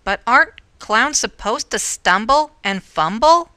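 A woman plays an innocent little boy pumpkin in a children's show. The voice is raised and very bright, childlike and shy. Lines come out earnest and a bit hesitant. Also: on the high side, lively intonation, unhurried. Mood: unsure, earnest. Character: small, shy, innocent young male talking pumpkin.

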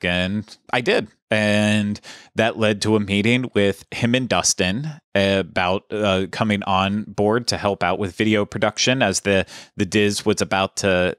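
A man in his thirties chatting casually as a podcast producer, with a flat, monotone delivery into a studio mic at home. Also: on the low side, dry recording. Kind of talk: casual chat. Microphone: studio mic on boom arm.

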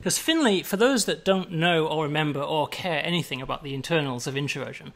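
An easygoing man chatting with a colleague, voice sitting a little high for a man. He rambles relaxedly, restarting phrases and laughing along. The tone is casual and unhurried. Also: creaky, a bit bright. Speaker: game designer.

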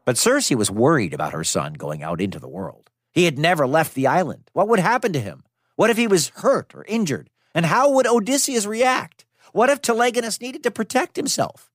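Male storyteller, very lively pitch, dramatic and conversational, dry studio, music underneath. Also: moderate pace, no room sound. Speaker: storyteller, podcast host. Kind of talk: lively scripted mythology narration for children.

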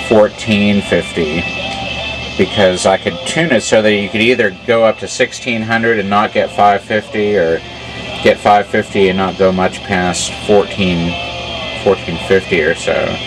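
A man narrating a casual repair demonstration in a halting, measured way. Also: fairly low pitch, low-quality recording. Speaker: hobbyist radio repairer.

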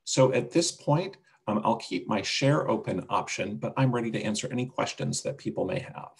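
A man with a gravelly voice presenting a product demonstration and answering questions on a video call. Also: lowish voice, expressive, moderate pace. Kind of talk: webinar demonstration and Q&A. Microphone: video call microphone.

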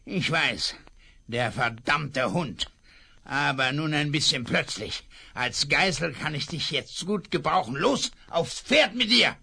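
A man's voice: a little high, expressive, slow, gravelly, pushed and bright, full character voice. An actor playing a ruthless, scheming male outlaw boss in a 1970s radio play, snarling threats. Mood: menacing.

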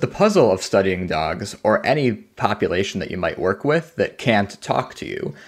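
A man speaking as a scientist being interviewed, explaining his work in a thoughtful way with carefully measured wording. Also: fairly low pitch, very animated.

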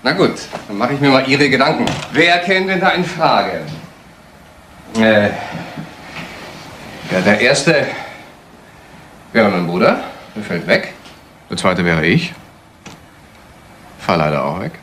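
A bitter, sardonic grown son in a crime radio play. He speaks at a middling pitch, needling with mocking questions, over clear background noise of vehicles. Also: very animated, slow, pauses often, a bit bright. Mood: ironic surprise. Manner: mocking, insinuating, with rhetorical questions. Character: bitter, sardonic adult son of bourgeois parents.

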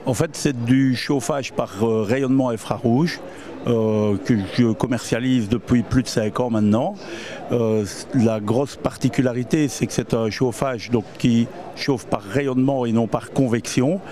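A middle-aged man at a fair stand explains his product in a steady, mid-pitched voice. He stops often with hesitant fillers, and his tone sounds dark and mellow. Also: unhurried. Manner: hesitant, with many "euh". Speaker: exhibitor, heating salesman. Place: exhibition stand in a hall.

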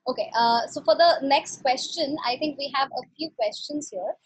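A woman's voice: high-pitched, moderate pace, poor audio. A young woman interviewing a guest on a video call through a headset, asking questions hesitantly and often repeating words.

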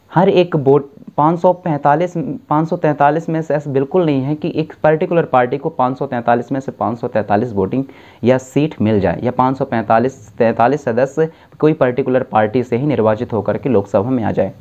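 A man's voice: mid-range voice, mellow, muffled recording. A young man giving an exam-prep civics lesson to camera, explaining step by step and often correcting himself. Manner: explains slowly with self-corrections and restarts. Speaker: civics tutor. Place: dim indoor room, seated at a desk.

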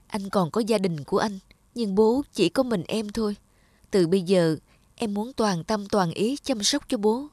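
A woman playing a gentle, sorrowful young woman in a cartoon drama, speaking earnestly and plaintively. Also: medium pitch, measured pace, no room sound, lightly acted. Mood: sad, resolute. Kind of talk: cartoon drama acting with reflective narration.

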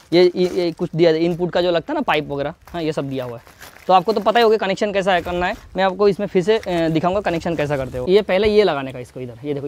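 Young male product reviewer, rapid patter, higher voice, casual and chatty, filmed outdoors on a camera. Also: slight background noise. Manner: chatty, talks straight to viewers, keeps checking in with "hai na". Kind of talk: casual unboxing demo. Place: outdoor courtyard garden.

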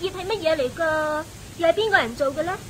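A woman's voice: very high-pitched, fairly quick, low-quality recording. An actress playing a young, innocent, curious heavenly maiden with a girlish, questioning manner in a radio drama. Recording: faint hiss and ambience.

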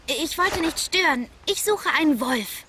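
High-pitched, earnest cartoon boy. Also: lively intonation, unhurried, bright, forceful tone, a little hiss or hum, exaggerated voice. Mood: friendly. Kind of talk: dubbed cartoon voice acting. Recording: music behind the voice.

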